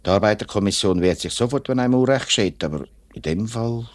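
A man's voice: fairly low pitch, animated, fast, lightly acted. A man playing a straightforward, sympathetic workers' representative in a 1950s radio drama, sober in manner, with a Swiss German accent. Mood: sympathetic, firm. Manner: plain-spoken, sober. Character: straightforward, sympathetic male union works committee president.

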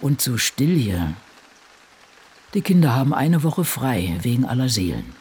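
A man playing an ageing, solitary woman crime novelist in a radio drama, musing in a deliberate, wry way. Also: mid-range voice, sing-song, a little mellow, slight background noise. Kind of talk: literary radio drama monologue, reading diaries and drafts.

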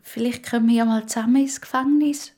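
Woman as outspoken, hurt young girl, Swiss German, high voice, children's story. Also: steady tone, fast, dark tone, natural voice. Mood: hopeful, careful.

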